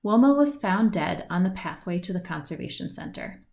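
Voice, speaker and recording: A woman reading a children's picture book aloud, with deliberate phrasing, stretched words and lilting lists. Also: lowish voice, lively intonation, vocal fry, a little mellow. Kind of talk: read-aloud storybook narration. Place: indoors at home, at a wooden table by a window.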